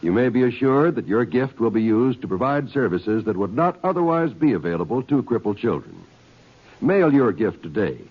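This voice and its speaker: A man's voice: medium pitch, big pitch swings, moderate pace, breathy edge. A male announcer reading a formal, earnest charity appeal in 1950s radio style. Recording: muffled old radio recording.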